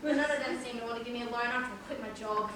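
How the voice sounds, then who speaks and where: A hapless, earnest young man played by a woman in a stage play, with a high voice. The recording is distant and very echoey. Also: rapid-fire, very noisy, close to an everyday voice. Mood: worried, earnest. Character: hapless, earnest young nephew with money troubles. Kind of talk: amateur stage play acting.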